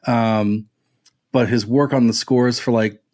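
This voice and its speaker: Man chatting casually about music, mid-pitched. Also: fairly even intonation, takes it slowly, a little mellow. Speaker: podcast panelist recommending music.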